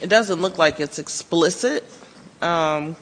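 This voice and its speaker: A man's voice: a little high, takes it slowly, fairly bright tone, big-room sound, slight background noise, low-quality recording. A man on the planning staff explaining permitted uses at a public meeting.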